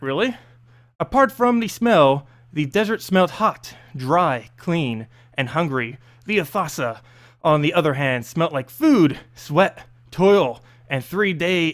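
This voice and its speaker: Guy with a highish voice reading a fantasy story out loud, really up and down, trips on words a bit. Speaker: host reading game lore story aloud.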